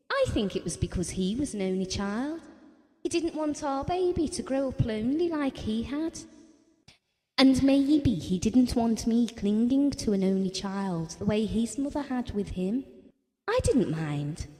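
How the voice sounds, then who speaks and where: Actress as a high-voiced wistful wife, reverberant. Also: female voice, animated, a little slow, dark tone. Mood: fond, reflective. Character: Ellen, wistful, devoted wife around forty, quietly wounded. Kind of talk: theatrical audio drama monologue and dialogue. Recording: long hall-like reverb.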